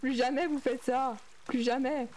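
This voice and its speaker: A woman's voice: sits high, fairly even intonation, normal speed, darkish tone, a little hiss or hum. A young adult woman streaming a game, chatting casually and reading and answering her viewers' messages aloud. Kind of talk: casual livestream chat while playing a game.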